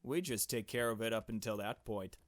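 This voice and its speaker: A blunt, secretive young girl detective from a visual novel game, played by a man with a fairly low voice. She is curt and dismissive, heard close and dry. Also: fairly quick, dry recording, natural voice. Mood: irritated, dismissive. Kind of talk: visual novel dialogue read aloud.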